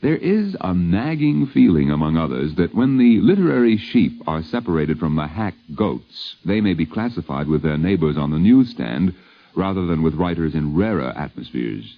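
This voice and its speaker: A man's voice: deep voice, sing-song, moderate pace. An American man narrating a scripted 1950s radio documentary in a formal, wry, literary manner. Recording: dull vintage radio recording.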